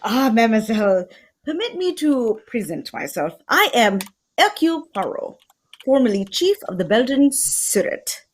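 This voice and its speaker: A woman's voice: on the high side, sing-song, moderate pace. A woman acting a polite, fussy Belgian male detective with a French accent and formal, theatrical delivery, in radio mystery style. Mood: polite, self-important.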